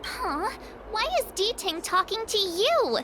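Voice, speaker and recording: A woman voicing a sweet, cheerful young girl who loves cute animals, expressive and playful, in a video game. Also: very high-pitched, takes it slowly, background noise, heavily acted. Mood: friendly, curious. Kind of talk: video game character voice acting.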